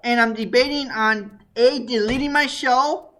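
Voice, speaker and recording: A man with a high voice hosting a podcast, talking in an excitable, casual way and laughing easily. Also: a little slow. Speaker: podcast host. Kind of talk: casual chat.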